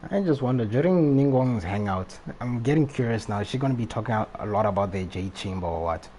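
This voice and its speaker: A man's voice: lowish voice, lively intonation, fast talker, mellow. A man doing casual live game commentary, thinking aloud as he reacts to the story. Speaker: game livestreamer. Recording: faint noise and game ambience behind. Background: video game audio.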